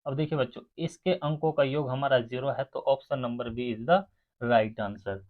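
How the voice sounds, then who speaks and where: Guy teaching class, counts sums out loud, talks right to students, thin phone-line audio. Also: mid-range voice, fairly even intonation, normal speed. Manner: addresses students directly, counts sums out aloud. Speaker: maths teacher for exam aspirants. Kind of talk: step-by-step lesson to students. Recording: muffled, phone-like narrow sound.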